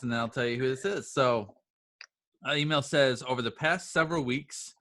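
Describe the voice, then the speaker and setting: Bright-voiced man coaching on a livestream. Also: medium pitch, dry recording. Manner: emphatic, repeats phrases for emphasis; informal, addresses listeners directly. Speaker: business coach and livestream host. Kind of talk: motivational livestream talk to followers.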